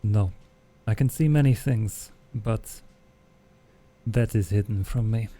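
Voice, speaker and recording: A man voicing a stoic, obliging vampire brawler in a tabletop game, speaking tersely and deliberately. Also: on the low side, dark tone.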